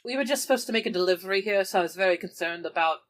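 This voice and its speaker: A female tabletop player speaks casually in character. She is polite and a little tentative, with an average pitch. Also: expressive, racing. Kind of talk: casual in-character improvised chat.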